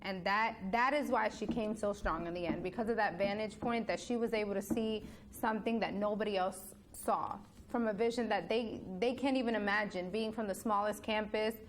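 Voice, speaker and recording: A woman's voice: mid-range voice, fairly even intonation, moderate pace, few pauses, a little hiss or hum. A young woman, a student news correspondent, earnestly building her points in a casual panel discussion, often repeating words as she goes. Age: young adult.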